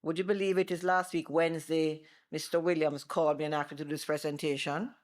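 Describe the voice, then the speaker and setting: A middle-aged Jamaican woman, an attorney, giving a loud, animated seminar presentation with worked examples to a live audience on a microphone. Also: deep voice, fast. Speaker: attorney and former bar president giving a seminar. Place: seminar room before an audience. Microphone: microphone she moves away from.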